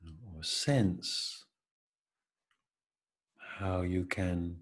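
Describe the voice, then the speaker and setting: A man leading an unscripted, reflective guided meditation, speaking gently in halting phrases with long pauses. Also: low-pitched, husky.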